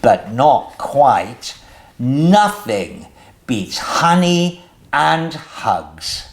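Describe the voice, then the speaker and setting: A storyteller voicing a young male bear in a children's storybook, eager, plaintive and childlike, with drawn-out exclamations. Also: mid-range voice, very animated, heavily acted. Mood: pleased.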